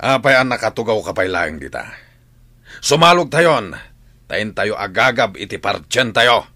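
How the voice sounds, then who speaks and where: Bossy gang leader in a radio serial, slow-paced and very bright, with exclamations. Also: male voice, lowish voice, expressive, no room sound, exaggerated voice. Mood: bossy, scornful. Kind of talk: radio drama acting.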